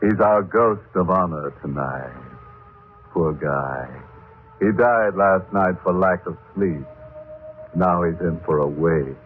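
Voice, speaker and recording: A man's voice: sits low, soft background music. A man playing a ghoulish, gleefully punning host of an old radio horror show, mock-genial and drawn out, on a muffled vintage recording. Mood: ghoulishly jovial. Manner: mock-genial, macabre joking, drawn-out delivery. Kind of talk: 1940s radio horror hosting.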